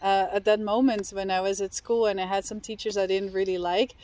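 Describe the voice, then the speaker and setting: Dutch-native woman host, 30s, friendly, mid pitch, recorded outdoors. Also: level tone, brisk, darkish tone, no echo. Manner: friendly, with frequent affirming murmurs. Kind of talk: casual interview. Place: park, seated at a picnic table outdoors.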